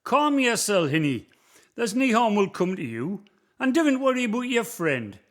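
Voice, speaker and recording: A man voices a cheerful ghostly roadman from the Northumbrian hills, speaking in a bright, high, lively voice with a broad Northumbrian accent. He is folksy and kindly, reciting verse and soothing a frightened visitor in a community radio play. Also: moderate pace. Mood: warm, folksy.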